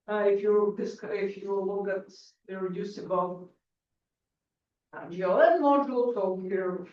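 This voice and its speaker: A woman from Bulgaria giving a math conference lecture, halting, with many restarts and fillers. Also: medium pitch, darkish tone. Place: lecture hall. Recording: echoey hall, distant lo-fi mic.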